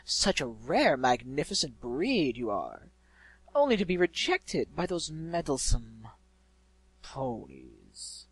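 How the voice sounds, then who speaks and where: A man's voice: mid-range voice, sing-song, slightly rough, exaggerated voice, rough recording. A man voicing an eloquent, malevolent disembodied male spirit in a storybook, drawing out words in a theatrical coo. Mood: mocking, malevolent. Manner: drawn-out, theatrical, cooing.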